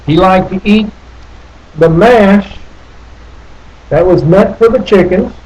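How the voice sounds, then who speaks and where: A man reading a children's picture book aloud in a folksy, playful, drawn-out way with little asides. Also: sits high, darkish tone, highs cut off. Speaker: storybook reader.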